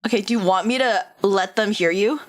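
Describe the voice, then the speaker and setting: A woman's voice: medium pitch, a little fast. A woman VTuber chatting casually on a livestream, bubbly, laughing often and swearing casually. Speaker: VTuber streamer.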